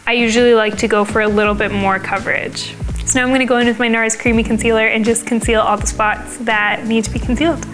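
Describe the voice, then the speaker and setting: A young woman, slightly raspy as she gets over a cold, explaining a makeup look step by step in a casual, chatty way. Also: fairly high pitch, fairly even intonation, quick pace, over music. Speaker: beauty vlogger.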